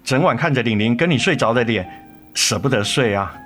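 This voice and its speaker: A man acting a remorseful, devoted middle-aged father in a radio drama, gentle, tender and coaxing. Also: medium pitch, animated, a little fast, close to an everyday voice. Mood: tender, grateful. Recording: music under the voice.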